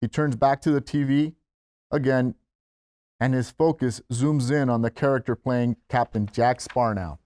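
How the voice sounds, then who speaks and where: Male narrator, medium pitch, scripted stage-direction read for a screenplay. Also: unhurried, mellow.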